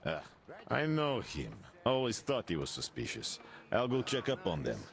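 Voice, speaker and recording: An actor playing an easygoing, wry guard in a post-apocalyptic video game. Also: male voice, mid-range voice, wildly swooping pitch, normal speed, vocal fry. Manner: wry, casual. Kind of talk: video game cutscene acting.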